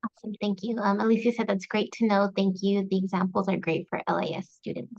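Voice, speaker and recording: A woman moderates a panel and reads out audience questions in a brisk, organized way. Her voice has a dry sound with no room around it. The audio is slightly dull and muffled. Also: medium pitch, steady tone, gravelly, a little mellow, no echo.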